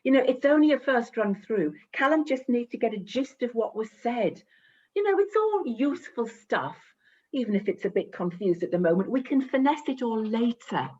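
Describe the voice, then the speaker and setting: A woman playing a brisk but patient theatre director in a naturalistic play, explaining and coaxing. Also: fairly high pitch, expressive, a little mellow, husky. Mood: brisk reassurance. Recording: dull muffled video-call audio.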